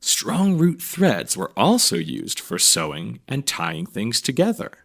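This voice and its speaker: A man reading a scripted educational story for children in a measured, clearly phrased read-aloud manner. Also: mid-range voice, wildly swooping pitch. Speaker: narrator reading a children's magazine article.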